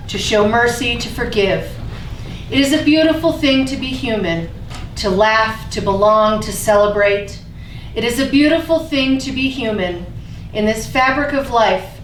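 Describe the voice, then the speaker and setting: High-voiced woman host, echoey room. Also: lively intonation, unhurried. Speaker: host introducing and reading a poem. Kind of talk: staged reading presentation. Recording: echoey room, distant mic, faint noise.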